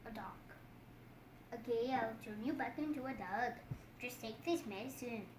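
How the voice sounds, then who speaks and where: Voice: medium pitch, moderate pace. A child improvising a puppet show, theatrically acting out the characters with exclamations. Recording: echoey room with some background noise.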